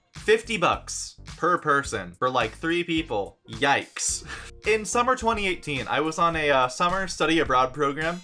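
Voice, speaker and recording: A young man telling a travel story in a casual vlog, animated and joking, with dry asides. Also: a little high. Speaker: vlogger.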